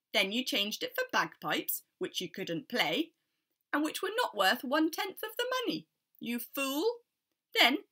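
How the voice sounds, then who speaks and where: A woman's voice: bright, forceful tone, heavily acted. A woman voicing a talking parrot that shrilly scolds a foolish man. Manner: shrill, mocking, relentless scolding.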